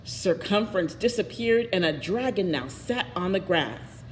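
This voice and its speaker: A woman's voice: mid-range voice, expressive, measured pace. A woman reading a picture book aloud expressively, with little asides to her listeners. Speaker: storybook reader. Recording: echoey reverberant room, faint noise.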